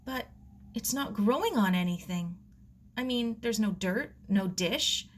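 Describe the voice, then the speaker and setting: A woman's voice: mid-range voice, expressive, measured pace, a little hiss or hum, lightly acted. A woman voicing a curious, polite young girl in a storybook, earnest and full of wonder. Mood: curious, puzzled.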